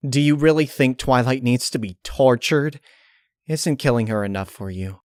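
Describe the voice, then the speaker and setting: An uneasy, plain-spoken female pony played by a man in a grim storybook tale. The pitch sits above his normal speech, and the delivery is blunt and questioning. The recording is dry and close. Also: moderate pace, a little mellow, clear, resonant tone, dry recording, close to an everyday voice. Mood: reproachful.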